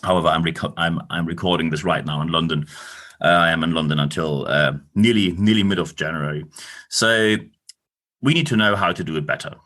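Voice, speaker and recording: A man's voice: deep voice, brisk, a bit bright. A man from Northern Germany with a German accent, hosting a solo leadership podcast with blunt talk and dry sarcastic asides. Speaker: podcast host. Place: recording in London.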